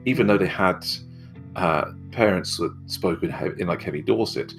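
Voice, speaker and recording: A very deep-voiced man from England chats on a podcast, dropping wry, sarcastic remarks. Light music sits faintly under his voice. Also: moderate pace, vocal fry, low-quality recording. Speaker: podcast co-host. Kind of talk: casual chat.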